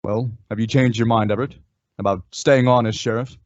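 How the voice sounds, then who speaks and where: An actor playing a calm, resolute western hero in an old-time radio style, measured and instructive. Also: male voice, mid-range voice, no echo, lo-fi sound, natural voice.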